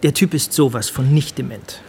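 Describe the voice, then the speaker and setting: Guy playing sceptical doc in a radio play, kinda creaky voice, dry n sardonic, bit of background noise. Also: medium pitch, moderate pace, darkish tone, close to an everyday voice. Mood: insistent, dry. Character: sceptical male doctor briskly reporting a suspicious case. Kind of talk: naturalistic German radio drama dialogue.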